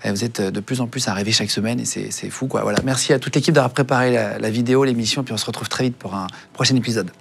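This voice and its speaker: Fast-talking gravelly podcast host man. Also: medium pitch, steady tone. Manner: friendly, direct, rapid-fire outro. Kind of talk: interview questions and channel outro.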